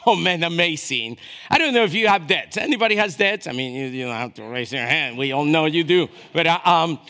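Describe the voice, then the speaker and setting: A pastor in his fifties with a notably high voice for a man. He preaches in a conversational, storytelling way, checking in with short questions and moving from quiet asides to raised, dramatic emphasis. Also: expressive, brisk. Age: 50s.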